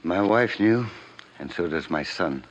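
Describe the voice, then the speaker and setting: Casting: guilt-ridden upper-class older gentleman, very low bass, formal and stiff; vintage radio drama, muffled sound. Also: animated. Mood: resigned. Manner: formal, measured diction. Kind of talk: 1950s radio drama acting.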